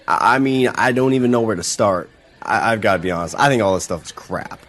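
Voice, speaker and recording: A man's voice: on the low side, a little fast, fairly bright tone, natural voice. An actor playing a nervous small-town father who stammers and hesitates in an audio drama. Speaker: witness.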